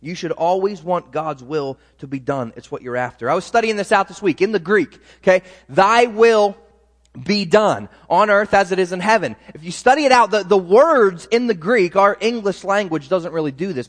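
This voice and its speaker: A man's voice: high-pitched, animated, normal speed, big-room sound. A man preaching a sermon as pastor, talking in a conversational way with frequent restarts and asides.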